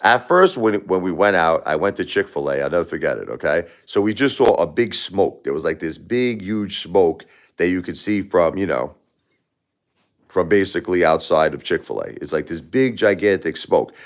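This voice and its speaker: A man chats loosely on his own livestream, circling back on his words and calling out viewers by name. His voice sits very low. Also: expressive, normal speed. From Brooklyn, New York. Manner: rambling, repeats words and restarts, addresses chatters by name. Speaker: livestream host. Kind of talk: casual livestream chat with viewers.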